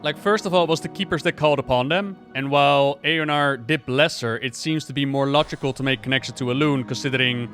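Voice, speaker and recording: A man giving casual, excited reaction commentary on a video game, exclaiming and thinking out loud. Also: medium pitch, fast talker, hardly any pauses, faint music behind. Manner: expressive, exclamatory, thinking aloud.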